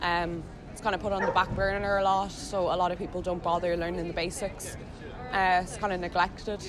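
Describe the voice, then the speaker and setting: A woman's voice: lowish voice, little pitch movement, brisk, noisy background. A young woman with an Irish accent, answering a street interview outdoors hesitantly, with many fillers. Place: outdoors in town.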